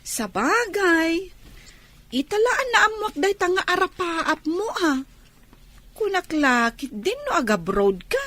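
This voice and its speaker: Very high, swooping young woman. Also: very animated, slow pace, a bit bright, full character voice. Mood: curious, amazed. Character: curious, easily amazed young female friend. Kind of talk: radio drama acting.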